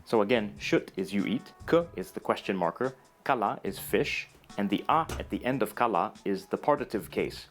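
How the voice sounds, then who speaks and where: A man narrating a scripted educational video in a calm, even, explanatory way. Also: lowish voice.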